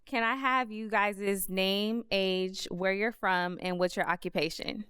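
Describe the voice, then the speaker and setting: A woman co-hosting a podcast, asking contestants questions in a measured, deliberate way. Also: mid-range voice, fairly even intonation, a bit bright, clean tone, no room sound, studio quality. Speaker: podcast co-host.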